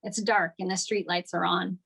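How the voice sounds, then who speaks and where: A woman's voice: lowish voice, fairly even intonation, normal speed, gravelly, a bit bright, husky. Woman reading screenplay stage directions. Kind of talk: scripted narration at a table read.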